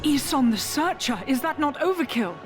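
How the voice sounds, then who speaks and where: Warlord's devoted lady: regal, formal game villainess, raised pitch over loud music. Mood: admiring. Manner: formal, measured flattery.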